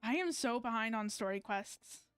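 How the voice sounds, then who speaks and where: A woman chatting casually on a live gaming stream, haltingly thinking aloud as she plays. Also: fairly high pitch, lively intonation, a bit bright, soft background music. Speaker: game streamer.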